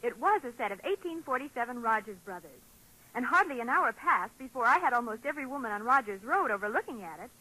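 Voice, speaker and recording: An enthusiastic American housewife in a 1940s radio advert. Her high voice has a husky edge and swoops eagerly as she gushes, on an old broadcast. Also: expressive, fast, sharp tone, low-quality recording. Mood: gushing. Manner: gushing, eager. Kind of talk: scripted 1940s radio commercial.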